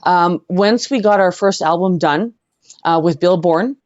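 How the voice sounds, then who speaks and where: A middle-aged woman with a Canadian accent, telling personal stories as an interview guest in an earnest, halting way. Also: lowish voice, darkish tone, dry recording, highs cut off. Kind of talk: personal storytelling in conversation.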